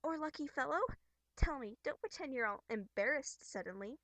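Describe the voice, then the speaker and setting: A high, bubbly young troll girl, acted out by a woman in an amateur webcomic voice performance. She is theatrical and expressive, scolding yet caring and earnest. The sound is close and dry. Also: normal speed, no room sound. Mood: coy, curious. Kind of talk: amateur character voice acting from a webcomic.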